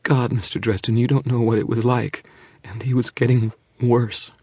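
A man voicing a frightened young mother who pleads and confesses in a rush, then drops to a hush. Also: lowish voice, breathy edge, no echo, pitched above the performer's own voice. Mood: fearful confession. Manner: breathless pleading, rushing then hushed.

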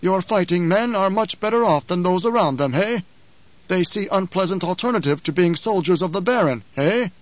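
A shrewd older spymaster, voiced by a man reading aloud, speaks in a mild, precise, lifted voice. He probes with calm questions. The recording is dry and a little muffled. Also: sits high, moderate pace, no echo, pitched above the performer's own voice.

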